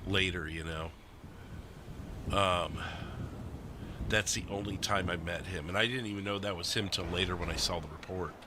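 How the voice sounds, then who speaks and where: A man hosting a casual podcast chat, talking in an animated, excitable way. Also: low-pitched, moderate pace, long pauses, noisy background. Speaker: podcast host and roleplay streamer.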